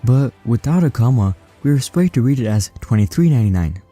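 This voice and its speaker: A man narrating a scripted explainer in an even, read-out way. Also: medium pitch, a little fast, soft background music.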